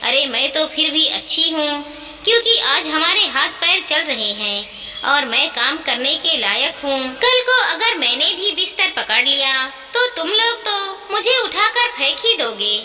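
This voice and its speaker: A woman's voice: high voice, sing-song, measured pace, pushed and bright, full character voice. A woman voicing a proud, hurt elderly mother in an emotional, emphatic moral story. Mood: indignant, hurt. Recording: echoey reverberant room, clear background noise.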